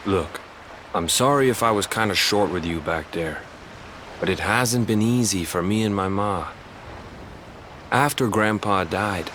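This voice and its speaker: Low-voiced young island fisherman. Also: a bit bright, slight background noise. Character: young island fisherman, bitter and protective of his family. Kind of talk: video game cutscene acting. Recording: music behind the voice.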